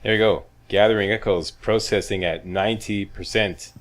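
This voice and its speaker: A man's voice: fairly low pitch, steady tone, normal speed, a little hiss or hum. A man doing casual, chatty video game commentary, guiding viewers step by step as a walkthrough host.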